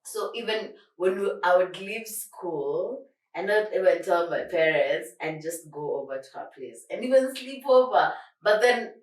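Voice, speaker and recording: A young woman chats on a podcast in an animated, rambling way, often breaking into laughter. Her voice sits a little low. The sound is roomy and set back from the mic. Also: measured pace. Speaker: podcast co-host. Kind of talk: casual chat. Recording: echoey room, far from mic.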